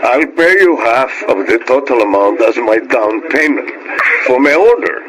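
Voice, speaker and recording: A man's voice: mid-range voice, animated, a little slow, a crowd in the background, close to an everyday voice. A man playing a businesslike, generous customer in a Filipino radio drama, confident, with a Filipino accent. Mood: confident, friendly. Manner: confident, mixes English and Tagalog. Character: businesslike, generous male customer soon to marry. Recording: noisy radio drama audio.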